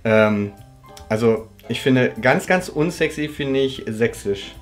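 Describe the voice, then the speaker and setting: A man in his thirties from Germany hosts a relaxed Q&A from a sofa, talking in an easy, chatty way and laughing often. His voice sits in the middle range. Faint music is heard behind him. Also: mid-range voice, slow pace. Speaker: host. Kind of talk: casual living-room Q&A. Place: living room, indoors.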